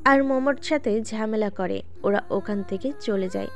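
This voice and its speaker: A woman's voice: mid-range voice, moderate pace, faint music behind. A woman narrating an audio drama in a plain storytelling manner, speaking directly to her listeners.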